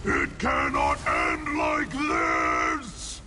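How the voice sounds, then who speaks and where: A man's voice: rumbling low, level tone, very slow, shouting, noisy background, exaggerated voice. An actor playing a huge, raging, power-hungry male dragon in a video game, bellowing and grandiose. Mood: furious.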